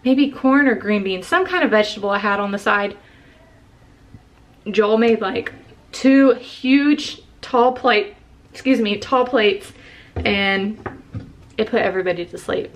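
A woman's voice: medium pitch, a little slow, long pauses. Young woman, rambling home vlogger, close handheld camera in her kitchen. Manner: chatty, rambling, self-correcting. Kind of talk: casual chat to camera. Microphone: handheld camera close to face.

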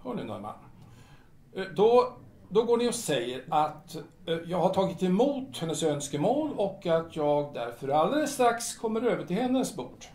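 An actor playing a bossy yet courteous café owner in a period audio drama, humming and hawing between phrases. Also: male voice, high voice, very animated, a little mellow. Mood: bossy, brisk. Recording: echoey room.

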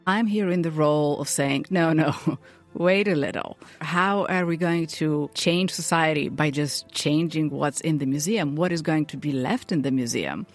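A woman from Bulgaria, interviewed as an advocate against censorship, speaking in a measured and earnest way. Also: low voice, soft background music. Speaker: free speech advocate, interviewee. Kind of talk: thoughtful interview answers.

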